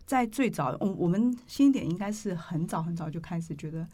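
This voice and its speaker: A woman's voice: lowish voice, animated, brisk, warm tone. A woman with a Taiwanese Mandarin accent hosting an interview in a conversational way. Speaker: interview host.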